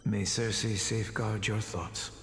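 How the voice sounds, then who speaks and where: An actor playing an old sage and mentor in a game, wry and measured, speaking formally and deliberately. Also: male voice, on the low side, steady tone, vocal fry, a bit bright, exaggerated voice. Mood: solemn blessing. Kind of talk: dramatic scripted game dialogue. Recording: echoey long hall reverb, music behind.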